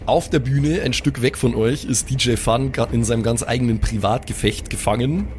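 A man's voice: medium pitch, moderate pace, darkish tone, a little hiss or hum. A man improvising colloquial, dramatic narration as game master of a pen and paper audio play.

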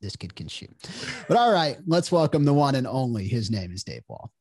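A man's voice: medium pitch, sing-song, moderate pace, a little mellow, no room sound. A man hosting a sports podcast, talking casually with a nasal, congested voice from a cold. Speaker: podcast host.

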